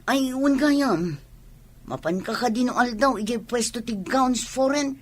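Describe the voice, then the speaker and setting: Woman acting a husky, higher-pitched devoted young spouse. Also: animated, measured pace. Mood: affectionate, practical. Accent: Ilocano. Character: devoted hardworking young spouse, a market porter. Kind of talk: Ilocano radio drama dialogue.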